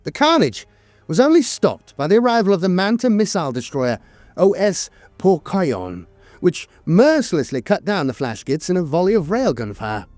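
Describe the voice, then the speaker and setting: High-pitched male storyteller, lively and dramatic. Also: dry recording. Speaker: lore narrator. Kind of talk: dramatic scripted narration of fantasy war lore. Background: music.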